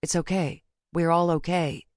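A woman's voice: fairly low pitch, fairly even intonation, moderate pace, darkish tone, no echo, clean studio sound, lightly acted. A woman voicing an anxious but determined young female witch, the heroine of a fantasy audiobook. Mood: tender. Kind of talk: audiobook character dialogue.

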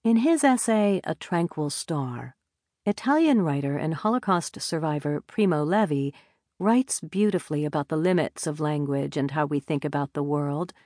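A woman narrating a nonfiction audiobook in a measured, deliberate reading style on a close studio mic. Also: low-pitched, expressive, dark tone, no room sound.

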